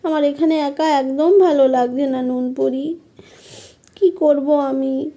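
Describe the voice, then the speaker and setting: A woman's voice: high voice, fairly even intonation, slow pace, dark tone. A woman voicing a tender, girlish young princess in a fairytale, emotional and exclaiming. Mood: homesick. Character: tender, wide-eyed young exiled princess. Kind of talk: fairytale storytelling.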